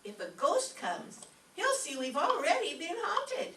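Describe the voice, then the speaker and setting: A woman's voice: extremely high pitch, huge pitch swings, measured pace, full character voice. A woman playing a naive, drowsy, dim-witted young secretary in an old-time radio comedy. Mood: naive, earnest. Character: ditzy, sleepy young female secretary, naive and earnest. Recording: roomy, distant stage recording with faint noise.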